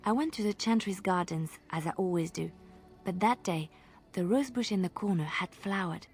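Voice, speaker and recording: A woman's voice: lowish voice, moderate pace, a little mellow, breathy edge, faint music behind. An actress playing a devout, dreamy young woman bard in a video game, earnest and wistful. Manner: earnest, wistful, drops to a whisper.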